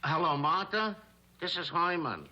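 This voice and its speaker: A man's voice: on the high side, lively intonation, highs cut off. A man playing a wheedling telegram messenger in a 1950s sitcom, hesitant and given to putting on a pitiful act. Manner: hesitant, meek, drawn-out hinting. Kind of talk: 1950s television sitcom acting. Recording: vintage TV soundtrack, clear noise.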